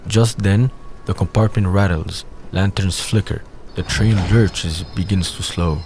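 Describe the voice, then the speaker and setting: A man's voice: low voice, steady tone, a little slow. A man reading scripted scene narration for an audio drama. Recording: faint background noise.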